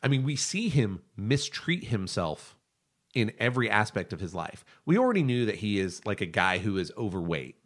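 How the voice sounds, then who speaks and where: A man with a fairly low voice that swings widely in pitch as he talks. He sounds like a podcast host deep in analysis, stating opinions with emphasis. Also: big pitch swings, normal speed. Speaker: podcast host discussing animation. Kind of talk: animated analytical discussion.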